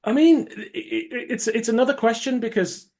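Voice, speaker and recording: A man's voice: very high-pitched, moderate pace, vocal fry, a little mellow. Man chatting informally on a podcast. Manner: informal, opinionated, swears casually. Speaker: podcast host. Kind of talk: casual chat about cult sci-fi dramas.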